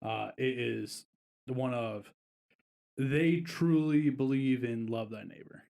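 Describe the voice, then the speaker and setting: A man with a mid-range voice chats on a relaxed podcast. He wanders through a travel story at an easy pace, leaning on filler words as he goes. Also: medium pitch, slow, creaky. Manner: rambling, easygoing storyteller with frequent fillers. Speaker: podcast co-host. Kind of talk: casual podcast chat.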